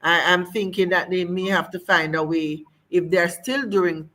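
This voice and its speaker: A woman's voice: lowish voice, unhurried, a bit bright. A woman host, interviewing a guest in a hesitant, circling way with many fillers.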